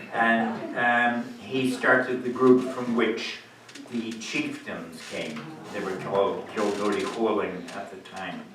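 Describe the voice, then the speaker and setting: A man's voice: fairly low pitch, a bit bright, a little hiss or hum. An Irish man whose first language is Irish, chatting casually between songs at a live folk show with anecdotes. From Ireland. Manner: chatty, anecdotal, laughing asides. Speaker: folk musician. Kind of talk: casual stage banter. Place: community hall. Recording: echoey live hall, mic far off.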